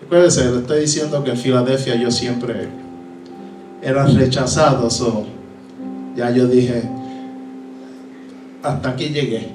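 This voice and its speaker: A man's voice: mid-range voice, steady tone, a little slow, pauses often, recorded from a distance, music in the background. A man from Puerto Rico with a Puerto Rican accent, a pastor giving his testimony from the pulpit, acting out voices and slipping into English. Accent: Puerto Rican Spanish. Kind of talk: sermon testimony. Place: church hall. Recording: echoey hall, voice from afar.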